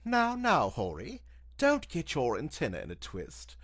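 A man voicing a calm, teasing monster peacemaker in an audio drama, in a placating manner. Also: mid-range voice, unhurried, no echo, clean studio sound, pitched above the performer's own voice, heavily acted. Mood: soothing, teasing.

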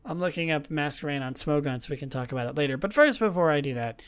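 A man hosting a casual solo podcast in a rambling, self-deprecating way, his voice slightly raspy and gravelly from a long day of talking. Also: fairly high pitch, lively intonation, fast. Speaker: podcast host. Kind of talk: casual solo podcast chat about a video game franchise.

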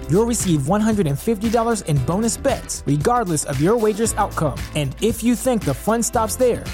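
Casting: female commercial announcer, medium pitch, scripted promo read over light music. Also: a little mellow. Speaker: advertisement voice-over.

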